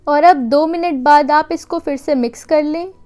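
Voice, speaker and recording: A woman giving a calm, patient step-by-step voice-over for a recipe video in her kitchen. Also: high voice, normal speed, soft background music. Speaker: cooking channel host.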